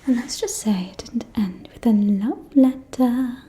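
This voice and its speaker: Woman doing a snooty vampire lady for asmr, whispery n soft, slow conspiratorial gossip, kinda teasing. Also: fairly high pitch, close to an everyday voice. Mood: scandalized gossip. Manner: conspiratorial, teasing, drawn-out delivery. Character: gossipy, catty aristocratic female vampire socialite at a ball.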